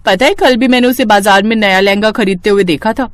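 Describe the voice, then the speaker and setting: A woman's voice: medium pitch, brisk, dry recording, natural voice. A woman voicing a jealous, scheming college girl in a moral-story cartoon, with a sly, taunting manner. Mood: sly, goading.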